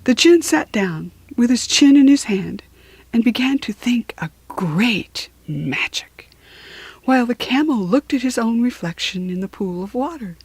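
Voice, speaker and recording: A woman tells a children's story with deliberate, unhurried phrasing. Her intonation is very animated, rising and falling expressively at a medium pitch, and the sound is dry with little room. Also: breathy edge, dry recording. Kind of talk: children's story and verse reading.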